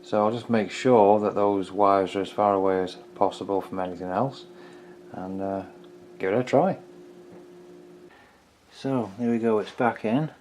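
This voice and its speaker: Male hobbyist repairer for a vlog: lowish pitch, dark mellow tone, informal, tentative think-aloud commentary. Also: unhurried, long pauses, slight background noise. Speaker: hobbyist repairer presenting own video. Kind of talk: casual hands-on repair commentary.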